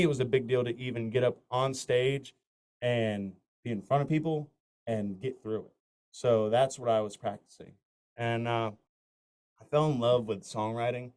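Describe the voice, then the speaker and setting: A man's voice: mid-range voice, darkish tone, clean tone. A man from north Florida, a country singer, talking casually in an interview and telling long stories full of fillers and restarts. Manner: rambling anecdotes with many fillers and restarts.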